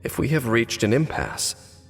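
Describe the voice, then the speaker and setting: A man's voice: mid-range voice, a little slow. A man playing a cynical, aloof, centuries-old swordsman, speaking formally and sardonically in a dramatic video game. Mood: cool resolve. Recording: long reverb, music behind.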